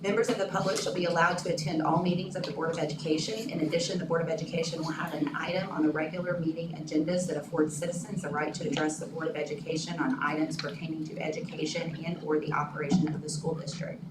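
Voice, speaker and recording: A woman's voice: sits low, steady tone, fast talker, hardly any pauses. A woman from Oklahoma with a slightly scratchy voice, chairing a school board meeting and reading the rules aloud. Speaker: board president. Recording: echoey room, distant mic, noisy.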